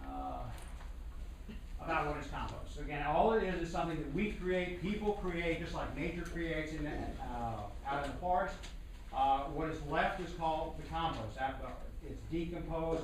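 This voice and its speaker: A man's voice: on the high side, a little hiss or hum. A man giving a slideshow talk at a community meeting, speaking plainly with lots of "again" and "uh". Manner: plain and conversational, repeats "again" and "uh". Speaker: community presenter. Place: meeting room. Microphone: room mic from across the room. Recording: echoey meeting room from far off.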